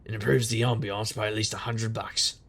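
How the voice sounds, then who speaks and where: An actor playing a wry, sardonic supernatural private investigator who narrates in conversational noir style. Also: male voice, lowish voice, flat delivery, brisk, vocal fry, noisy background. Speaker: narrator and lead character. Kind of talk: noir first-person scripted narration.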